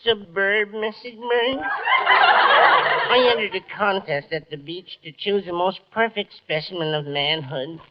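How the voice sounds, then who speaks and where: Slow, doleful, pompous radio mailman. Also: high-pitched, wildly swooping pitch, heavily acted. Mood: mournfully self-satisfied. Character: mournful, self-satisfied male mailman. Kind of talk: 1940s radio comedy acting. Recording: muffled, very noisy 1940s radio recording.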